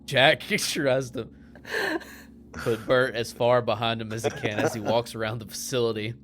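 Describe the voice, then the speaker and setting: A man's voice: mid-range voice, little pitch movement, normal speed, a bit bright, slight background noise. A man chatting casually as a player in a tabletop roleplaying game. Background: faint music.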